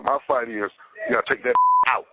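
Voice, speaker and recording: An American man talking casually but forcefully, blunt and slangy, in rough recorded audio. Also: medium pitch, fairly even intonation, fast, dry recording, dull audio.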